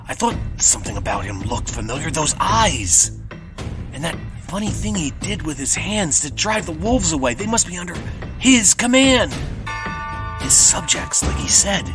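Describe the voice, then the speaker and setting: A man's voice: on the high side, big pitch swings, unhurried, music in the background. An actor playing a frightened young man trapped in a vampire's mansion, talking to himself anxiously and stammering. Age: young adult. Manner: anxious, stammering inner monologue.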